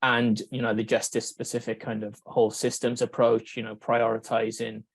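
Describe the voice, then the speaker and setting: A Welsh man, a researcher, giving a conference talk in a measured way, thinking aloud with fillers and restarts. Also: medium pitch, little pitch movement, dry recording. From Wales. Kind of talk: conference presentation.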